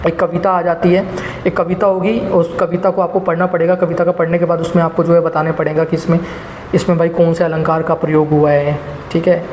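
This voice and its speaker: A man's voice: on the high side, level tone, fairly quick, darkish tone, slight background noise. A young adult man casually giving exam preparation advice to students, rambling with many filler phrases and addressing viewers as guys. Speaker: exam prep teacher. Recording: echoey room with faint ambience.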